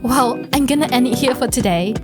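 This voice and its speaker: A woman let's-player reacting casually to a game in an excitable manner, with gasps and exclamations. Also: sits high, fairly quick. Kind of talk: casual gameplay reactions and reading aloud. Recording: loud music behind the voice. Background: loud game music.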